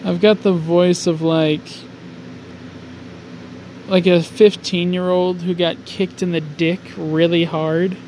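A man's voice: flat delivery, takes it slowly, darkish tone, rough recording. A young man hosting a casual solo podcast from his garage, rambling, mocking himself and swearing often. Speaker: podcast host. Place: home garage. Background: faint highway traffic.

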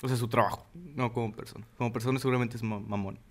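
A man's voice: mid-range voice, fairly even intonation, fast. Enthusiastic Mexican man, slangy. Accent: Mexican Spanish. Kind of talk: casual chat.

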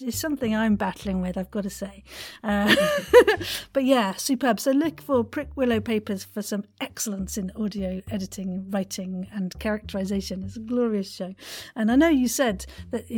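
A woman podcast host with a fairly high voice and a husky, breathy edge. She interviews with theatrical enthusiasm and a playful, effusive way of talking.

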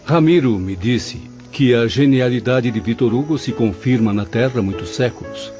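A man's voice: medium pitch, moderate pace, mellow, close to an everyday voice. A man playing a studious spirit pupil who speaks in a formal, scholarly way in a radio drama. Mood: earnest. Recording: lo-fi radio drama under clear music.

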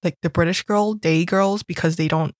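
Young woman from hong kong, lowish voice, rambly chatty storytelling, lots of "like". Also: steady tone, normal speed, dry recording, clean studio sound. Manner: chatty storytelling, heavy use of "like". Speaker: guest recalling school experiences. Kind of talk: casual chat.

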